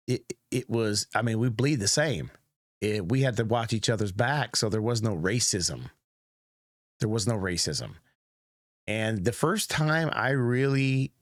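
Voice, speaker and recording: A man's voice: fairly low pitch, no room sound, studio quality. A middle-aged American man giving casual, rambling commentary as host of a reaction video, with many fillers and restarts. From United States.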